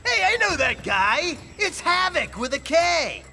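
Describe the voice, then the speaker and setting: A man's voice: extremely high pitch, sing-song, measured pace, background noise, heavily acted. An actor playing a cheeky young male superhero who talks in flippant, sarcastic quips in a video game. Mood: excited. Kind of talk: video game cutscene acting.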